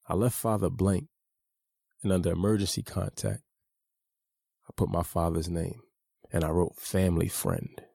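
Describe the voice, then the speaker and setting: Male author-narrator, memoir read, low gravelly voice, dark tone, dry close sound. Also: no echo. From United States. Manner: measured, earnest, personal. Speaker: author narrating his own audiobook.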